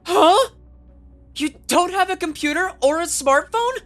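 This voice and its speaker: A woman acts out an earnest young police rookie from an anime dub. The voice sits high and swings widely in pitch, excitable and easily flustered, blurting every thought. Light music runs underneath. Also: measured pace, full character voice. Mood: shocked. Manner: excitable, thinks out loud, blurts things.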